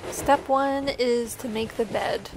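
A woman's voice: fairly high pitch, fairly even intonation, slow pace, background noise. A woman chatting casually in an upbeat way as she narrates a home vlog. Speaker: vlogger, host. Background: music.

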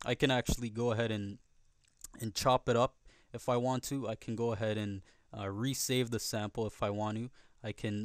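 A man narrating a software tutorial casually and conversationally, often checking in with the listener, recorded as a close voice-over. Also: mid-range voice, no room sound. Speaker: tutorial host.

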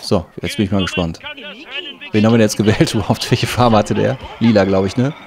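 A man's voice: lowish voice, quick pace, darkish tone. A man giving casual gameplay commentary, thinking aloud in an offhand, colloquial way. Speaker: let's play host.

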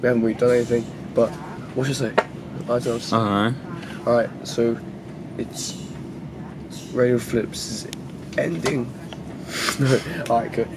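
A man's voice: mid-range voice, steady tone, moderate pace, pauses often, very noisy. A young man from England, casually signing off a vlog into a handheld camera held close to his face, in a small room at night. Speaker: vlogger.

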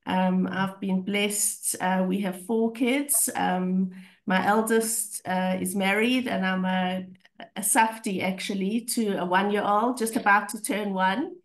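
A woman in her fifties with a South African accent, croaky from a slight cold, giving an informal personal update on a video call. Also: mid-range voice, steady tone, takes it slowly. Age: 50s. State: mild cold. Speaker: class reunion participant.

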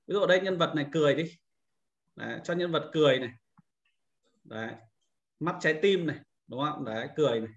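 A man's voice: on the high side, expressive, quick pace, long pauses, lo-fi sound. A man giving an informal screen-recorded tutorial as an instructor, explaining things step by step and often repeating himself.

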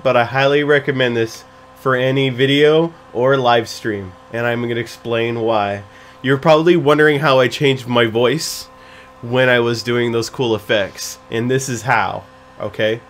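A man's voice: medium pitch, fairly even intonation, a little slow, fairly bright tone, slight background noise. A man in his thirties giving a casual, playful screen-recorded streaming tutorial in a goofy, chatty way. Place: small home room with gaming setup, indoors.

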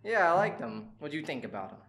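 A man's voice: a little high, fast talker, music in the background. A man, chatting casually and slangily as host of a reaction video. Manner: animated, slangy, musing.

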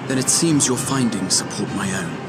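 An actor playing a scholarly young male investigator, formal and deliberate, in video game dialogue. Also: medium pitch, animated, over loud music, close to an everyday voice. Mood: measured, probing. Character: scholarly, measured young male catfolk investigator addressing a council.

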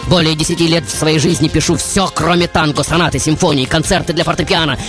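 A man playing a passionate, brooding composer who tells his life in a dramatic, poetic radio monologue with deliberate pauses. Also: a little high, steady tone, natural voice. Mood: reflective, intense. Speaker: radio show host. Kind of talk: theatrical radio monologue over music. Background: music.

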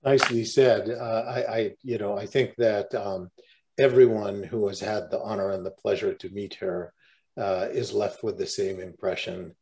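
An older man hosting a forum by video call, giving unscripted tribute remarks in a measured way. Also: on the low side, steady tone, vocal fry, darkish tone. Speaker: forum host. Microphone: video call microphone.

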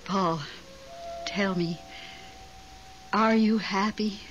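A woman's voice: mid-range voice, fairly even intonation, drawn-out words, soft background music. A woman playing an emotional, clinging widow with a dramatic, wistful, insistent manner in 1970s radio drama style. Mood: anxious, probing. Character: emotional, clinging widow named Melba, devoted to dead husband. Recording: dull 1970s radio recording, noisy.

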